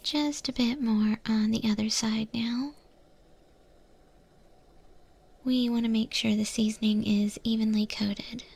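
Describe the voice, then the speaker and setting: A woman voicing an eldritch monster cook who patiently trains a new recruit, in a breathy whispered ASMR roleplay. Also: fairly high pitch, fairly even intonation, slight background noise. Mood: calm, musing. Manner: patient, gently instructive, unhurried.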